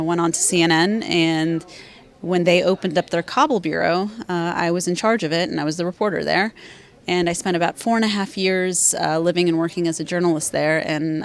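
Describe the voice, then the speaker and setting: A woman in her thirties, a former journalist and author, answering interview questions in a measured, thoughtful way with frequent fillers. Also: fairly low pitch, vocal fry. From Afghanistan, raised in Virginia, USA.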